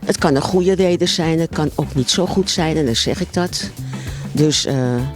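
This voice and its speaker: A woman's voice: low voice, normal speed. A woman speaking casually and hesitantly as an interviewee. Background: music.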